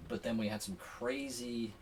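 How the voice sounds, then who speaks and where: A man chatting casually and telling a story as an interview guest, with a hesitant, halting delivery. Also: mid-range voice, fairly even intonation. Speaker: podcast guest, sailor. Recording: distant mic with faint background noise.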